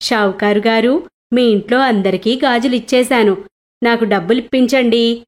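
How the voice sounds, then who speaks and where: A kindly woman bangle seller, as a storyteller reads her, respectful and gentle. Her voice is on the high side, over light music, in a storybook manner. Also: moderate pace, natural voice. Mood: polite insistence.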